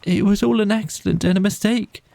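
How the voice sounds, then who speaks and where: A man's voice: high-pitched, brisk, creaky, mellow, dry recording, pitched above the performer's own voice. A man voicing an anxious, tearful storybook mole who stammers and pleads in a flustered, apologetic way. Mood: flustered pleading.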